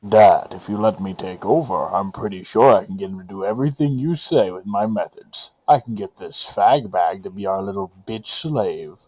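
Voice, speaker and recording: Man playing a dark-voiced, sadistic young villain. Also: fairly low pitch, normal speed, few pauses, dry recording, natural voice, poor audio. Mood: eager, cruel. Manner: crude, taunting. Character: crude, sadistic son of a villain boss. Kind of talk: amateur comic dub voice acting.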